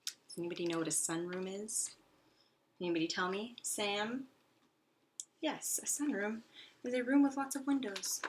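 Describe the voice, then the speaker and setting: A woman's voice: mid-range voice, normal speed, pauses often, noisy background. A woman speaking as a teacher in a classroom read-aloud lesson, explaining simply and asking her pupils questions.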